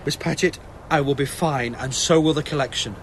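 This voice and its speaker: An actor playing a terse, defensive and haunted wartime police inspector in an audio ghost story. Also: male voice, a little high, moderate pace, a little hiss or hum, close to an everyday voice. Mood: agitated, defensive. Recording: music under the voice.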